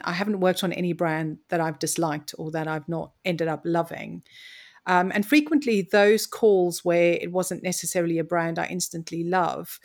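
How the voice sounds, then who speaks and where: A woman from Mozambique, telling her story as an interview guest in an articulate, reflective way. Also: lowish voice. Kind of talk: conversational interview.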